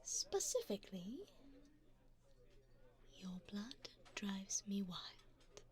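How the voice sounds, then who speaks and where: A woman playing a wealthy, seductive vampire in an ASMR roleplay, doting yet predatory, with a poised, formal, teasing and breathy delivery. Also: medium pitch, big pitch swings, extremely slow, a little mellow. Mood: seductive, hungry. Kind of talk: ASMR roleplay, addressing the listener.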